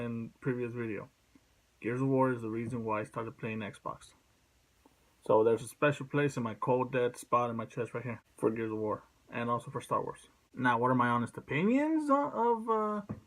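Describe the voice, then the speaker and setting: A man speaking casually as a video host, blunt and sweary, in a halting, rambling way. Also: mid-range voice, poor audio. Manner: blunt, profane. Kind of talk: casual opinion vlog.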